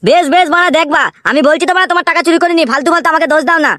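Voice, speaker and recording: A woman voicing a cheeky, scheming little boy in a comic cartoon, with a pushy, whiny, quick-fire way of talking. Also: extremely high pitch, bright, forceful tone, dry recording, studio quality. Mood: indignant protest.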